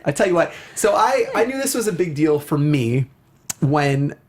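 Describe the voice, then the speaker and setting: A man's voice: fairly high pitch, sing-song, normal speed, slight background noise. Chatty, enthusiastic male talk show host. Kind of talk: casual video game and film discussion.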